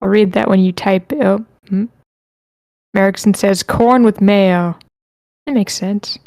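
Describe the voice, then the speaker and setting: Woman, mid-pitched, informal online meeting host who mutters asides and reads out comments. Very dry sound. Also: fairly even intonation, takes it slowly, a little mellow, no echo. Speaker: host moderating a script feedback session. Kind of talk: casual meeting moderation, reading chat messages aloud.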